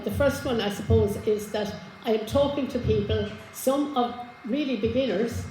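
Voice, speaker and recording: A hoarse woman giving an informal genealogy talk to a live audience, chatty, with many fillers and restarts. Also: mid-range voice, dark tone, roomy sound, slight background noise, low-quality recording. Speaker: genealogy speaker. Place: community hall with an audience. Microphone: handheld microphone.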